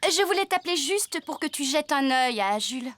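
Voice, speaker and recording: A woman voicing a sensible, sceptical woman farmer in a French cartoon dub, brisk and expressive. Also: sits high. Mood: friendly, baffled.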